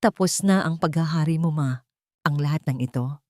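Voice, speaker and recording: A woman voicing a resolute, bitter grown son who speaks with quiet finality in an audio story. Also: deep voice, moderate pace, dry recording, studio quality, close to an everyday voice. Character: bitter, resolute adult son confronting his mother.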